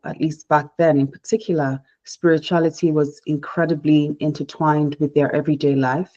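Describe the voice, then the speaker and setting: A woman from Nigeria whose first language is Igbo, giving a measured, reflective talk on a panel, often restarting her sentences. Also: low voice, warm tone, highs cut off. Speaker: panel speaker, researcher.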